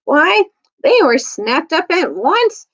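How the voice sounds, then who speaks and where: A high-pitched, brash male auctioneer as a woman acts him out, pushing his patter with swooping pitch. He is an oily storybook rogue, heard on a slightly dull recording. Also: big pitch swings, slow, a bit bright, heavily acted. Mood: oily, whining. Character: brash male slave auctioneer, oily and whining when cornered. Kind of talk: children's storybook read-aloud.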